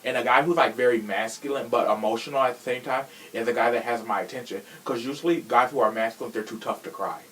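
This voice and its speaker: A man's voice: mid-range voice, fast, few pauses, fairly bright tone, slight background noise, poor audio. A man hosting a casual true crime podcast, talking in a sassy, frank way with profane slang. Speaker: podcast host.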